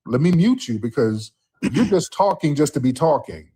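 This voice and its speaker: A man's voice: fairly high pitch, very animated, moderate pace, mellow, breathy edge. A man hosting a live stream, arguing heatedly and mocking a caller with repeated insults. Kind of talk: heated call-in argument.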